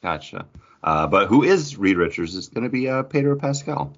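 A man's voice: mid-range voice, sing-song, moderate pace, gravelly, fairly bright tone, dull audio. A man co-hosting a podcast, chatting casually about geek culture in a joking, teasing way. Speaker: podcast co-host.

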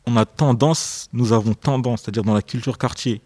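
Hesitant, reflective man with a mellow voice. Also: medium pitch, fairly even intonation, moderate pace, husky, no echo. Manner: hesitant, repeats words, searching for phrasing. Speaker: author guest. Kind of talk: radio interview, reflective.

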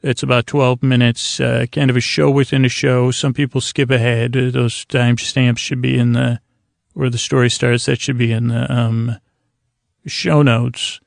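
Creaky-voiced man rambling softly at bedtime. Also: mid-range voice, level tone, no room sound, clean studio sound. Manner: slow, languid, meandering, with long pauses. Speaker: podcast host. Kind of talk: rambling bedtime sleep podcast monologue.

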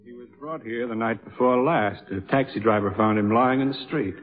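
An actor playing a formal, apologetic sanatorium official in a matter-of-fact way in a 1950s radio drama. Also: male voice, medium pitch, a little fast, faint music behind, close to an everyday voice. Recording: muffled, reverberant 1950s radio broadcast.

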